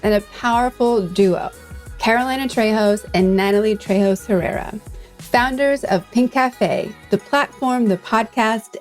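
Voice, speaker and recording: A woman hosting and interviewing on a podcast. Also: a little high. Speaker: podcast host.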